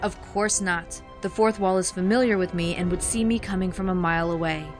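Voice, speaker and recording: A woman's voice: on the low side, steady tone, brisk, close to an everyday voice. A woman playing a sly, mocking schemer in an audio drama, speaking in a wry, formal and taunting way. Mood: defiant, cutting. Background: music.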